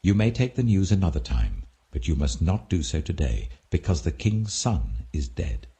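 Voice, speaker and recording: A man's voice: low voice, very animated, moderate pace, dark tone. A man voicing a harsh, impatient ancient army commander in a scripture audiobook, blunt and commanding. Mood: firm, dismissive.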